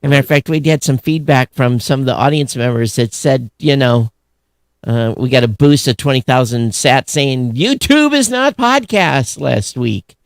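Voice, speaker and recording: A man's voice: mid-range voice, animated, normal speed, no echo. An American man chatting casually and unscripted as a podcast co-host, in a rambling, conversational way. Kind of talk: casual unscripted show chat.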